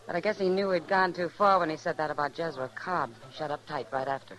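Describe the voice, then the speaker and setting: A woman's voice: low-pitched, fast talker, a bit bright, poor audio. An American actress playing a plain-spoken, observant frontier saloon woman in an old radio western, matter-of-fact in delivery. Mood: wary. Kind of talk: 1950s radio western acting. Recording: old radio, crowd noise.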